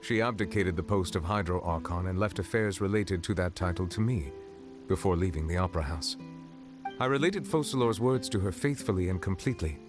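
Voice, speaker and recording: An ancient dragon sovereign in human form, played by a man speaks in a low, grave voice. He explains things in formal, measured sentences with solemn calm. Clear music runs under his lines. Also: natural voice. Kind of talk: game character dialogue. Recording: game audio with music behind.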